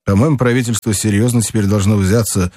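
A man voicing a calm, skeptical male friend who answers in a plain, low-key way. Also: quick pace, no echo, studio quality, close to an everyday voice. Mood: doubtful.

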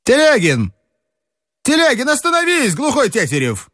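A man voicing a frantic engineer who bellows his prophecies of collapse in a voice pushed high and hard. Each exclamation swings wildly up and down as he raves on a close, dry reading. It sounds like a storybook radio reading. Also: sing-song, measured pace, a bit bright, no echo, heavily acted. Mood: hailing. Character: excitable male engineer shouting doom.

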